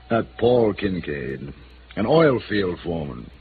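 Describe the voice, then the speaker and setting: A man's voice: deep voice, very animated, slow, full character voice. An actor playing a wealthy, controlling middle-aged father in 1950s radio drama, formal and stern with clipped speech. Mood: scornful. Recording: muffled, noisy old radio recording.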